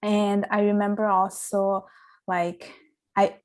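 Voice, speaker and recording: A woman's voice: mid-range voice, flat delivery, takes it slowly, darkish tone. Earnest young woman recounting her upbringing. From Italy. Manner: earnest and reflective, many restarts and "like"s. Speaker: podcast guest. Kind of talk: casual interview storytelling.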